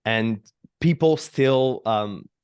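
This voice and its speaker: A man from Italy with an Italian accent, hosting a podcast interview, speaking haltingly in English with many restarts and fillers. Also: medium pitch, a little mellow, clear, resonant tone, no echo, studio quality. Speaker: podcast host. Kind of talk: casual interview conversation.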